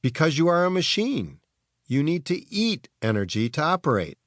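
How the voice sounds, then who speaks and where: A man's voice: on the high side, very animated, normal speed, no echo, clean studio sound, pitched above the performer's own voice. A man voicing an earnest boy inventor in a children's storybook, explaining science eagerly and emphatically.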